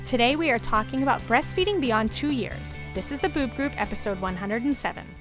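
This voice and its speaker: A woman's voice: on the high side, expressive, brisk, over music. A woman hosting a podcast from a studio, opening the show in an upbeat, welcoming way. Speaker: podcast host.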